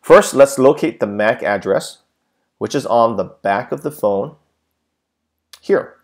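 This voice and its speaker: A man's voice: mid-range voice, lively intonation, slow pace. A man giving a plain, methodical step-by-step how-to narration, with frequent filler sounds, as a tech tutorial presenter.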